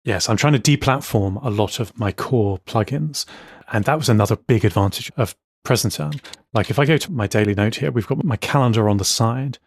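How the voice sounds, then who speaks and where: A man's voice: medium pitch, brisk, slightly rough, no room sound. A man co-hosting a podcast, enthusiastically walking through his note-taking setup in casual tech chat. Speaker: podcast co-host.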